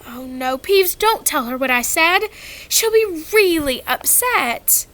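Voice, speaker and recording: A woman voicing an anxious, earnest schoolgirl in a storybook reading, flustered and falsely bright. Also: very high voice, expressive, unhurried, voice pushed up, full character voice. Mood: frantic.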